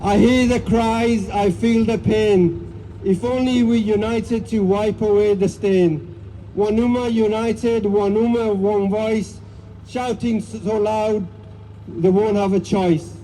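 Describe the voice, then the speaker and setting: A man speaking at an outdoor protest rally. He reads a poem aloud in an earnest, measured way, with a few ums before he starts. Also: high-pitched, steady tone, mellow, a little hiss or hum, low-quality recording. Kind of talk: poetry recitation at a rally. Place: outdoors in cold weather at a street rally.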